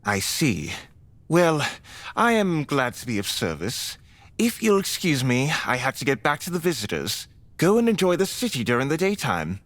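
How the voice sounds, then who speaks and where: A man plays a polite, measured vampire mentor for an audio drama. His phrasing is formal and courteous, yet the melody moves around a lot. The sound is dry and intimate. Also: fairly high pitch, huge pitch swings, fairly bright tone, no room sound.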